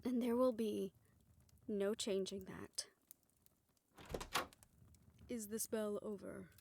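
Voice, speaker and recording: A woman playing a composed aunt in a fantasy audio drama, speaking in a measured, deliberate way. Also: medium pitch, fairly even intonation, vocal fry, background noise. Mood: serious, resigned. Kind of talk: audio roleplay drama acting.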